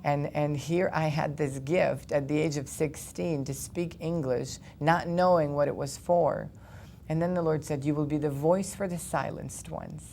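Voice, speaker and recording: A young man speaks English with a gravelly, creaky edge. He tells his story earnestly, picking up speed in animated bursts, with a faint rumble in the background. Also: normal speed. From Ukraine. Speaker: guest. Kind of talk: personal testimony in a studio interview.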